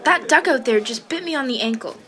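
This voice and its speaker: A woman's voice: high voice, expressive, brisk, very noisy. A woman playing a brash, grumbling visitor in a 1940s-style radio comedy. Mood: indignant.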